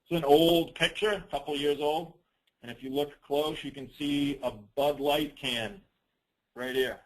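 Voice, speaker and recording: A man presenting a business training call as an informal, rambling software demo, with teasing, self-deprecating asides. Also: on the high side, fairly even intonation, measured pace, poor audio.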